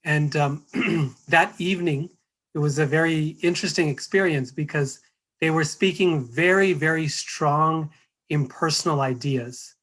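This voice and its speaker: A hoarse middle-aged American man giving a spiritual talk, hesitant, with many fillers and restarts. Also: on the high side, measured pace, fairly bright tone, low-quality recording. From United States. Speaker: guest speaker.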